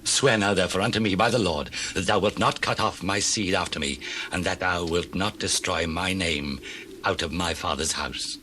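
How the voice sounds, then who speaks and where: A man performs an ageing king in a scripture audio drama. The voice is low and intense, grave with remorse and declaimed solemnly. Faint noise sits behind it. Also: normal speed, pushed and bright. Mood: solemn pleading.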